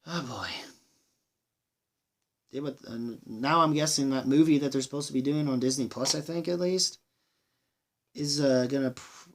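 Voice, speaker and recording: A man giving a casual movie review monologue, rambling and halting, with enthusiastic delivery and plenty of swearing. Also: medium pitch. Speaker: film reviewer, channel host.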